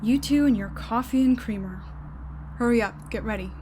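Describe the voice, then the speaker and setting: A woman's voice: on the high side, moderate pace, mellow, natural voice. A woman playing a curt, no-nonsense operator of a time machine in an audio drama. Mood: brisk, commanding. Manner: curt, bossy. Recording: clearly noisy background.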